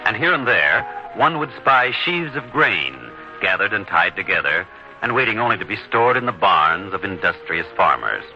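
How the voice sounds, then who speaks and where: A low-voiced man announces and narrates with grand, sweeping intonation. His old-time radio delivery is solemn and deliberate, full of dramatic pauses. Music plays behind him on a dull recording. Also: very animated, sharp tone.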